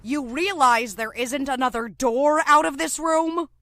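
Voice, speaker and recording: Woman as a high-voiced, wry survivor. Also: unhurried, pushed and bright, dry recording, exaggerated voice. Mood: tense, blunt. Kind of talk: audio drama acting.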